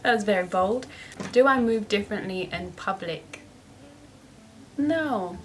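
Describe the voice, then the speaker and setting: A young woman vlogger who answers viewer questions in a chatty, upbeat way and laughs often. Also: medium pitch. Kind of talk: casual Q&A vlog.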